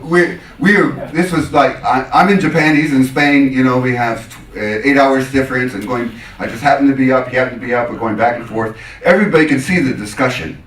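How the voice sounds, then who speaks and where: A male lecturer from the United States speaks in an echoey room, picked up from some distance. He answers questions in an anecdotal way, acting out both sides of conversations. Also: medium pitch. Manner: animated anecdotal telling, acting out quoted exchanges. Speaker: academic lecturer taking audience questions. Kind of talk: conference talk with question and answer. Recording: roomy echo, distant microphone.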